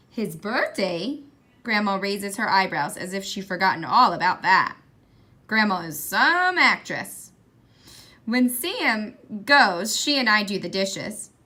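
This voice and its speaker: A woman reading a children's picture book aloud in an expressive storytelling style. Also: mid-range voice, a little slow. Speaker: read-aloud reader.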